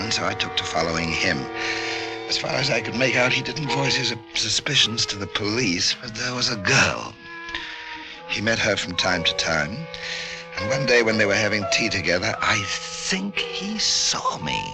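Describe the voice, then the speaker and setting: An actor playing a scheming, murderous doctor with smooth politeness and a measured, hesitant manner in an old-time radio drama. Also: male voice, fairly low pitch, poor audio. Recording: old radio recording with music behind.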